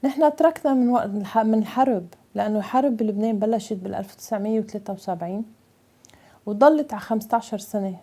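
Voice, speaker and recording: A woman's voice: mid-range voice, rapid-fire, warm tone. A mature Lebanese woman, a native Arabic speaker, telling her personal story in an emphatic way with a Lebanese accent. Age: 50s or older. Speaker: interviewee, mother telling her life story. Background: plucked guitar music.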